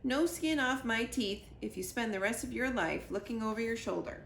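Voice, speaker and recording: A woman's voice: a little high, normal speed, creaky, reverberant, a little hiss or hum. A woman voicing a bossy, sarcastic young female chick in a storybook reading. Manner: brash, sarcastic.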